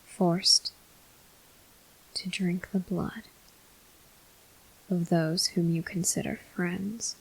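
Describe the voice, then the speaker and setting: A woman voicing a brooding, weary male vampire soldier in an ASMR roleplay, in a breathy, husky whisper with slow, grave delivery. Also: fairly low pitch, fairly even intonation, full character voice, low-quality recording. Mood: bleak, brooding.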